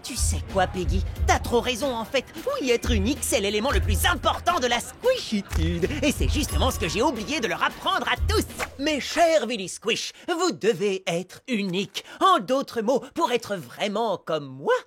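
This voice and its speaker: A woman playing an excitable, boastful young amoeba boy in a cartoon dub, talking in swaggering slang. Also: fairly high pitch, moderate pace, hardly any pauses, music in the background. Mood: earnest.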